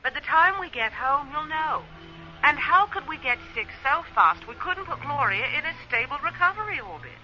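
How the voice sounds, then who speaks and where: A woman playing a brisk, confident spaceship crew member in a radio play, assertive and informal over a radio link. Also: high-pitched, sing-song, pushed and bright, highs cut off, soft background music, full character voice. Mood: insistent. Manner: matter-of-fact, assertive, informal. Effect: radio transmission filter.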